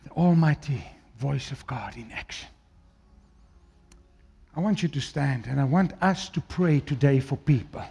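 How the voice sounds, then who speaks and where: A man from South Africa with an Afrikaans accent preaching a sermon, swinging between loud declaiming and quiet, low asides. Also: expressive, unhurried, vocal fry, pauses often, a little hiss or hum.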